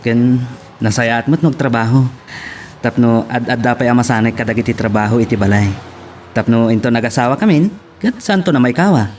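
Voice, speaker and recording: A man's voice: mid-range voice, fairly quick, a little mellow, lightly acted. A man acting a good-humoured young farmer in love, easygoing, in an Ilocano audio drama. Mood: easygoing, resigned. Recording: noisy background.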